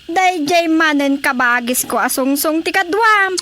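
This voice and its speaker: Very high-pitched nagging wife. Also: female voice, expressive, a little slow, sharp tone, slight background noise, exaggerated voice. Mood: nagging, whiny. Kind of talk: comedy radio drama acting.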